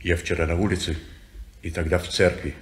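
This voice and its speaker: An actor playing a brooding, possessive lodger who speaks in a low, insistent, quiet way. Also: male voice, flat delivery, brisk, lightly acted. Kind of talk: 1950s radio play acting. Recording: echoey old radio studio.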